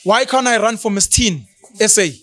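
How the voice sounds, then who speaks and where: South african guy in his 30s ranting on a podcast, high pitched, super dry close sound. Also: moderate pace, dry recording, soft background music. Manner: animated, mocking, slips into isiZulu. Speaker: podcast co-host. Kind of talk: opinionated casual chat.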